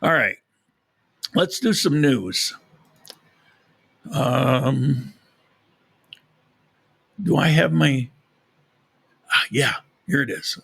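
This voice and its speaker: A man's voice: mid-range voice, expressive, drawn-out words, gravelly, long pauses, a bit bright, a little hiss or hum. A man hosting a live podcast, rambling casually with frequent restarts and losing his voice. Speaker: podcast host. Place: lives in Colombia.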